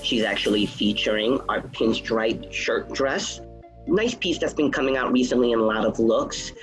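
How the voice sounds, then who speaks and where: A man presenting student outfits, describing each garment in a smooth, salesy way. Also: medium pitch, normal speed, fairly bright tone, rough recording. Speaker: fashion presenter. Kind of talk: runway-style commentary on outfits. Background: background music.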